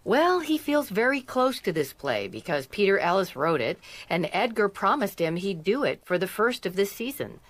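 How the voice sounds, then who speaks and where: A detective's chatty female companion in a radio drama. Her mid-range voice is friendly and inquisitive, with very animated intonation. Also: mid-range voice, normal speed, hardly any pauses, dry recording. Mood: conversational. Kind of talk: radio mystery drama acting.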